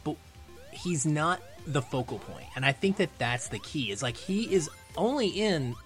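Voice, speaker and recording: A man's voice: on the high side, sing-song, unhurried, faint music behind. A man around his thirties or forties talking casually as a podcast guest, explaining his film opinions in an analytical, emphatic way.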